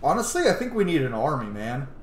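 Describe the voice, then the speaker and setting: A man's voice: medium pitch, sing-song, quick pace, soft background music. A man in his thirties giving casual, sweary game commentary, thinking aloud as he plays. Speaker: game streamer. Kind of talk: casual roleplay commentary over gameplay. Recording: echoey room.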